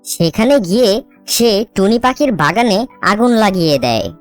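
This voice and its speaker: A woman narrates a children's cartoon tale from a script. Her voice sits at a middle pitch, with soft music playing underneath. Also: lively intonation, unhurried.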